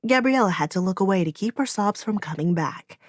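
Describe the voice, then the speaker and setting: A woman's voice: medium pitch, expressive, brisk, no room sound, studio quality. A woman reading a fantasy audiobook as narrator, in dramatic, solemn storytelling.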